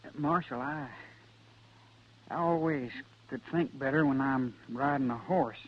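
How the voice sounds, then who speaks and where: Actor as husky, halting young cowhand. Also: male voice, medium pitch, close to an everyday voice. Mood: sheepish, puzzled. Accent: American. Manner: halting, stammering. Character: earnest, hesitant young cowhand. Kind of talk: 1950s radio western acting. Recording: dull old radio recording.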